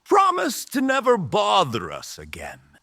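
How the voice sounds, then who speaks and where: A man voicing a menacing, mocking rebel mage leader in an audio drama, with an oratorical, drawn-out, taunting delivery. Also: sits high, huge pitch swings, gravelly, sharp tone, dry recording, clean studio sound, voice pushed up, exaggerated voice. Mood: rousing, scornful.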